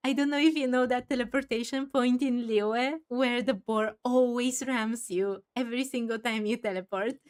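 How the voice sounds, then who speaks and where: A woman's voice: fairly high pitch, steady tone, unhurried. A woman hosting a game playthrough, musing aloud and adding exclamatory asides as she goes. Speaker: streamer, game playthrough host. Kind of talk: gaming commentary mixed with reading dialogue.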